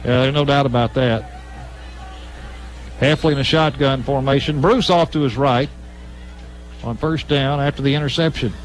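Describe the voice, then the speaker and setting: A man's voice: medium pitch, steady tone, normal speed, pauses often, faint music behind, low-quality recording. An American man doing live play-by-play radio commentary of a football game in a clipped running style that builds on big plays. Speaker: play-by-play announcer. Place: stadium broadcast position at a high school game.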